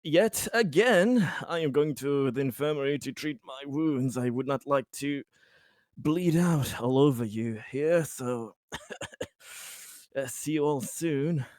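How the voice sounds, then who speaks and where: A man playing a tabletop roleplaying game, speaking casually and haltingly, often stammering and repeating words. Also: mid-range voice, darkish tone, dry recording, studio quality.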